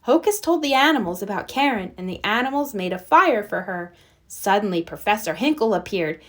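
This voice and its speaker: A woman reading a children's storybook aloud as narrator, with expressive storytelling. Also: medium pitch, brisk. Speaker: storybook reader.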